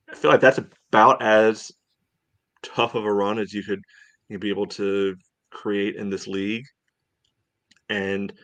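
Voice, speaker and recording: A man co-hosting a soccer podcast in casual discussion, thinking aloud in a halting way. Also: fairly low pitch, a bit bright.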